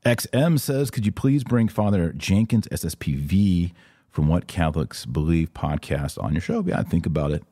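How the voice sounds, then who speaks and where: A man's voice: low voice, normal speed, warm tone, no echo, clean studio sound. A man hosting a podcast, giving casual religious commentary and answering listeners in a conversational, emphatic way. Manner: conversational, emphatic, acts out imagined exchanges.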